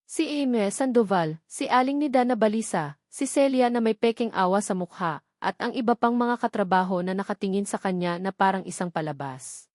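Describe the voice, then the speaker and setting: A woman's voice: mid-range voice, moderate pace, a little mellow, no room sound, clean studio sound. A woman reading scripted narration of a dramatic love story.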